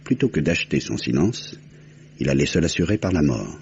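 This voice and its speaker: A composed detective with a low voice and a methodical, articulate way of explaining, in vintage French radio drama style. The recording is slightly dull with faint noise. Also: male voice, very animated, brisk, a little mellow, full character voice. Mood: grave, methodical. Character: composed, methodical detective recounting a solved murder. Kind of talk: old French radio crime drama acting.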